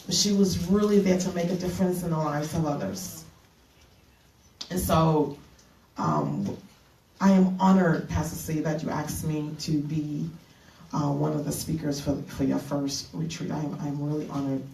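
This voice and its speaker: A woman's voice: low voice, vocal fry, darkish tone, recorded from a distance, slight background noise. Earnest Bahamas-born woman preacher, echoey room. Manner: earnest, halting with fillers and restarts. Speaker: keynote speaker and minister. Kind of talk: retreat keynote talk. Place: conference room. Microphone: handheld mic.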